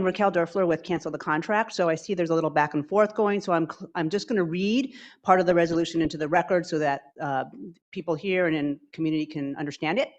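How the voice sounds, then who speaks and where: A woman with a loud voice, speaking as a public commenter at a meeting and reading a formal resolution aloud at a brisk pace. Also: deep voice, highs cut off. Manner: self-described loud voice.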